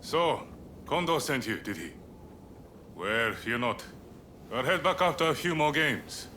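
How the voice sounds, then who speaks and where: A man playing a relaxed, roguish gambling samurai in a video game cutscene, casual and teasing. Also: mid-range voice, unhurried, a little hiss or hum. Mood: nonchalant.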